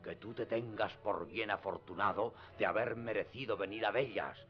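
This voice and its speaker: A man plays a proud, deluded old knight-errant from a radio play. His voice is very low and his delivery grandiose, solemn and declamatory. The recording is dull and lo-fi, with music faintly behind it. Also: moderate pace, close to an everyday voice. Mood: boastful, resolute. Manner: declamatory, lofty, archaic speech. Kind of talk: radio theatre acting.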